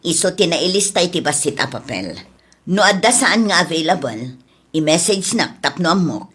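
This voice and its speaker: A Filipino-accented woman playing a brisk, scolding lady of the house in a comedy drama. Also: on the low side, very animated, fairly bright tone, breathy edge, full character voice. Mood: brisk, bossy. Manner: commanding, scolding. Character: bossy lady of the house, brisk female employer. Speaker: actor.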